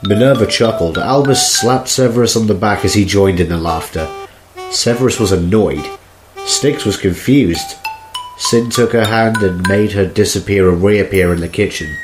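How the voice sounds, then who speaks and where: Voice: low-pitched, moderate pace, music in the background. A narrator reading scripted story narration for a fan audio drama.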